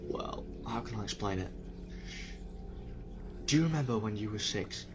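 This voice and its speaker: Man as wise, sorrowful alien time traveller for audio drama; low, grave, gently apologetic. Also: expressive, normal speed, dull audio, background noise, close to an everyday voice. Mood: sorrowful, gentle. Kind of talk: fan audio drama reading.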